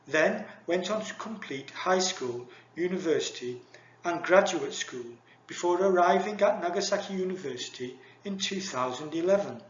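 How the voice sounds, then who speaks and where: Guy reading a uni lecture, kinda high voice, echoey dull audio, slow and formal. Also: lively intonation, slight background noise. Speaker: university lecturer.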